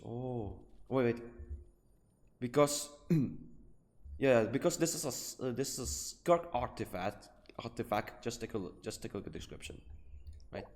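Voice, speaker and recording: A man giving casual live gaming commentary, thinking aloud haltingly and repeating his phrases. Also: mid-range voice, darkish tone. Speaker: game streamer. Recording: roomy echo, faint game music behind.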